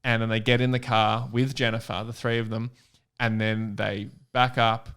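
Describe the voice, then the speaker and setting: A man's voice: on the low side, level tone, moderate pace, a bit bright, smooth. A man chatting casually and reading a story aloud with comic intent on a podcast.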